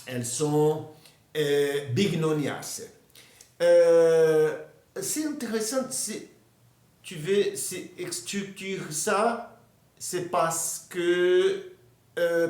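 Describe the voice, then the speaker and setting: A man from Brazil with a Brazilian Portuguese accent, explaining plants in a learned language, halting with many hesitations and restarts. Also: a little high, reverberant. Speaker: botany presenter. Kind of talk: educational talk in a learned language.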